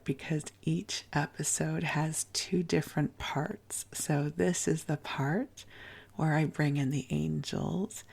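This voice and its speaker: A woman with a low voice speaks very softly and unhurriedly, as host of a spiritual sleep podcast. Her hushed delivery has a creaky edge and a soothing, rambling flow meant to lull the listener. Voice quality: soft, hushed, breathy at phrase ends. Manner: deliberately slow, gentle, soothing delivery.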